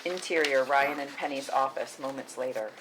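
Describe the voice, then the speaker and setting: A woman's voice: a bass voice, moderate pace, background noise. A woman narrating a film script's stage directions at a table read.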